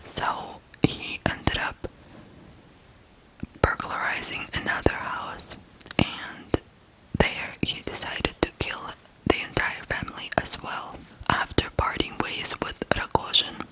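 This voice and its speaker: A person from Ukraine telling stories in whispered ASMR style, softly and deliberately, pausing between short phrases. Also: poor audio. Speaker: ASMR true-crime host.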